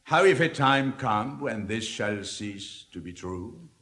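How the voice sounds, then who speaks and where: Suave cynical libertine, lively swinging intonation, declamatory 1950s stage drama, long hall reverb. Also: male voice, on the low side. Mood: earnest, rhetorical. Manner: rhetorical, deliberate, declamatory. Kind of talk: 1950s theatrical dramatic reading.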